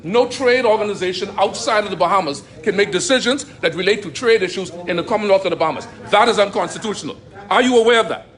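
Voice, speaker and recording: A man's voice: high voice, fast talker, hardly any pauses, fairly bright tone. A man from the audience questioning a speaker at a public forum, insistent, repeating himself with many restarts. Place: public meeting hall.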